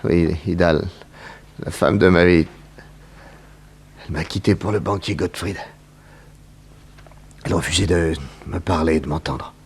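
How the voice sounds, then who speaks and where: A man from a sixties radio thriller. His voice is deep and heavily rasping, with a creaky edge, and he speaks haltingly, stumbling back over his words. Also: heavily acted. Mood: dazed, halting. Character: man in a 1960s crime serial. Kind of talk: 1960s French radio serial acting.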